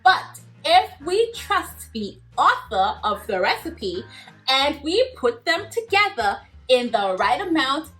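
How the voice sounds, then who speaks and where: A woman hosting a children's Bible lesson, cheerful and animated, laughing often as she talks to kids. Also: on the high side, low-quality recording. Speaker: children's story host.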